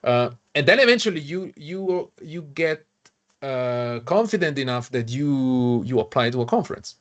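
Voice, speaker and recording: Man, halting casual chat. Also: mid-range voice, lively intonation. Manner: halting, many restarts and fillers, laughs often. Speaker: podcast host.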